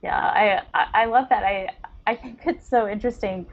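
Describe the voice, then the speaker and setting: A woman's voice: medium pitch, normal speed. Chatty woman podcast host, slightly dull audio. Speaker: podcast co-host interviewing a writer. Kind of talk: casual chat.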